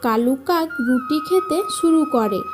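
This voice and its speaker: A woman narrates a children's cartoon tale in a very high voice. Music plays behind her scripted storytelling. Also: animated, unhurried.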